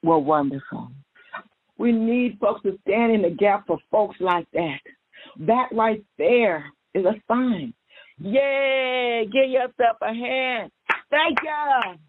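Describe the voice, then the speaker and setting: A woman's voice: a little high, expressive, takes it slowly, no echo. A woman hosting a psychic call-in radio show in a folksy, sing-song way, repeating her phrases. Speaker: radio psychic host taking callers. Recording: tinny phone-like radio feed, music behind.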